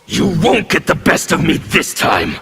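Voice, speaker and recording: Havik, a gravelly menacing zealot. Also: male voice, a little high, huge pitch swings, unhurried, bright, forceful tone, a little hiss or hum, full character voice. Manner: snarling, theatrical, harsh emphatic delivery. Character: menacing male revolutionary zealot, intimidating. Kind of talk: fighting game intro dialogue.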